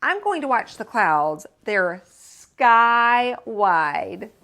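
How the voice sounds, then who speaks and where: A woman's voice: fairly high pitch, sing-song, extremely slow, creaky, bright, forceful tone, exaggerated voice. A woman voicing an imaginative, confident little girl in a storybook, with a bold, playful manner.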